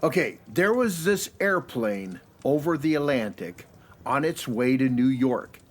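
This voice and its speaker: An actor playing a playful, chatty man with a jovial, drawn-out storytelling manner. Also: mid-range voice, expressive. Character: playful, chatty American man telling a long joke.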